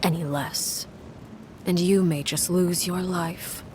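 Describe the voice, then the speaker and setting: An actress playing a cold, commanding woman who heads a house, speaking in a measured, formal and icily calm way. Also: fairly low pitch, noisy background. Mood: menacing. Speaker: villainous house leader.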